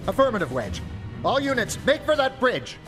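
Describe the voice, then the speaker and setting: A brash young rebel pilot in a space opera radio play. His very high, swooping voice is eager and excitable over blaring orchestral music. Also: normal speed, exaggerated voice. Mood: urgent. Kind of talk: space opera audio drama acting. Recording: loud film score behind.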